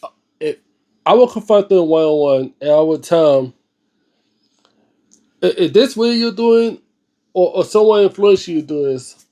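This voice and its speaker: High-voiced man, halting and earnest. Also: expressive, dark tone. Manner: halting, repetitive, searching for words. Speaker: host of a book reading and discussion series. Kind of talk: unscripted casual video commentary.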